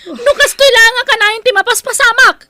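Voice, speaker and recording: Very high-voiced dutiful daughter. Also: female voice, a little fast, pushed and bright, no echo. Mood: upset, reproachful. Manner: earnest, emotional. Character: dutiful, long-suffering, outspoken grown-up eldest daughter. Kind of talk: Ilocano radio drama acting.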